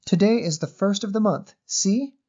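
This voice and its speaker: A man's voice: high voice, normal speed, mellow, muffled recording, voice pushed up. A man voicing an eager, polite little girl with an earnest, precise manner in an audio short story.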